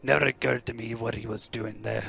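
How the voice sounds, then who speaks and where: A man playing a big-hearted, rough groundskeeper in an audio play, gruff and emotional, with a gravelly voice. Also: mid-range voice, little pitch movement, a little fast, fairly bright tone, slight background noise. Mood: grieving outrage.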